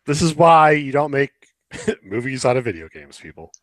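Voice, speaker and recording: A man co-hosting a podcast, chatting casually and acting out his stories in an animated way. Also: mid-range voice, moderate pace, no echo. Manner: animated storytelling with acted-out quotes. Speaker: podcast co-host. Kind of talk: casual chat about films and video games.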